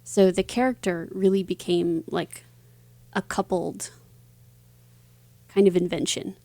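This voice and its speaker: A woman's voice: lowish voice, steady tone, slow, gravelly, warm tone, dry recording. A woman author speaking casually as a guest in an interview.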